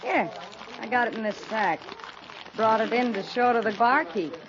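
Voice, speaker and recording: A woman's voice: on the high side, moderate pace, very noisy, lightly acted. A woman playing a playful, sassy frontier saloon regular with teasing, folksy talk in a 1950s radio drama. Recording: muffled 1950s radio, crowded room behind.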